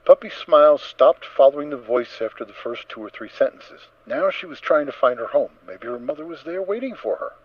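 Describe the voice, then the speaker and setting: Expressive male narrator, lively pitch swings. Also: a little fast, hardly any pauses, a little hiss or hum. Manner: expressive, theatrical. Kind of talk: dramatic storybook narration. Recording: slightly dull, dry close recording.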